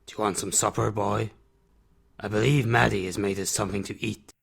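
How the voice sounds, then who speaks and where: A man playing a gruff but fatherly reclusive man in an audio drama, with a terse, measured, plain-spoken manner. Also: lowish voice, steady tone. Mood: calm, firm.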